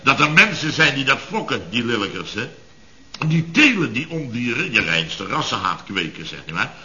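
A man's voice: mid-range voice, big pitch swings, moderate pace, bright, forceful tone. An actor playing a blustering, indignant boss of a building firm in a radio comedy, stammering and repeating himself as he rambles. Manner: stammering repetitions, sputtering, rhetorical tags. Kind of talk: 1970s radio comedy acting. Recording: dull vintage radio recording.

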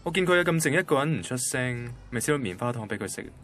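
Nerdy young hong kong cantonese guy, defensive, matter-of-fact, radio drama w soft music. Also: medium pitch, expressive, fast. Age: young adult. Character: ordinary nerdy young male banker, literal-minded, defensive.

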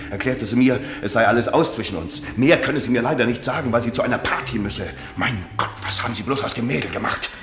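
A man's voice: lowish voice, natural voice. Blunt, aggrieved sailor played by a man, roomy echo. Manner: blunt, menacing. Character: aggrieved, stubborn young male sailor, jilted fiancé. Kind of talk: German radio crime drama acting. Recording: roomy echo with music behind.

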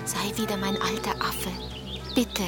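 A woman's voice: medium pitch, level tone, a little slow, over loud music, close to an everyday voice. An actress playing a devoted, insistent young woman in love in a radio drama, pleading and impatient. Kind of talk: German radio drama acting.

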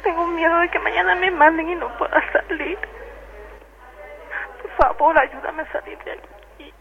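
A woman's voice: very high-pitched, steady tone, normal speed, vocal fry. A woman with an Ecuadorian accent giving personal testimony over the telephone in a radio interview. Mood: distraught. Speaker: detainee giving testimony by phone. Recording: muffled, noisy telephone line.

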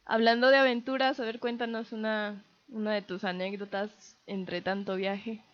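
A woman's voice: medium pitch, level tone, moderate pace, muffled recording. A woman hosting a casual radio interview, chatty and friendly in her questions. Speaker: radio host.

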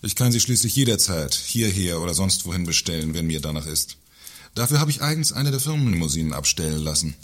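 An actor playing a pompous, cynical company director in a satirical radio play, wordy and condescending. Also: male voice, deep voice, expressive, quick pace. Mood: brazen, smug.

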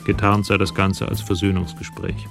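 A sober crime story narrator with a low, heavily creaking voice. He tells events matter-of-factly in scripted radio drama style, over clearly audible music. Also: little pitch movement, moderate pace.

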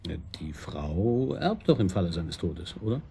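An actor playing a wry, probing police detective in a radio drama, with a husky voice and a dry, colloquial manner. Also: male voice, sits low, big pitch swings, moderate pace, warm tone, slight background noise, exaggerated voice. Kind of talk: naturalistic German radio drama acting.

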